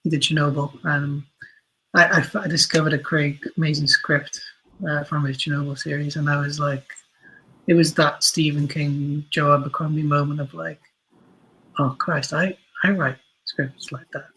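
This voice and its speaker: A man gives casual, thoughtful interview answers, often circling back on his words. His voice is a little high and husky, with a bright edge and very even intonation. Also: normal speed, low-quality recording. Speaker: podcast guest, audio drama writer.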